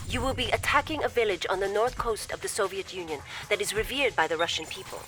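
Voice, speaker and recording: A woman's voice: medium pitch, fast, lightly acted. A woman playing a formal female logistics officer, giving mission briefings in a game. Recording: music playing behind.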